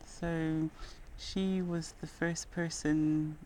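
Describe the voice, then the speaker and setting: A woman's voice: deep voice, little pitch movement, extremely slow, mellow, a little hiss or hum. A woman with a Zimbabwean accent, a podcast guest talking in an earnest, reflective way and laughing now and then as she tells stories. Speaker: guest, nurse and rock climber. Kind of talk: podcast interview conversation.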